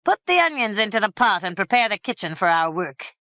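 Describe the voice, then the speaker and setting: A blunt male ogre head barks demands in a high, lively voice, put on by a woman reader. He sounds hungry and impatient. The recording is dull and dry. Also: a little fast, dry recording. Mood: demanding.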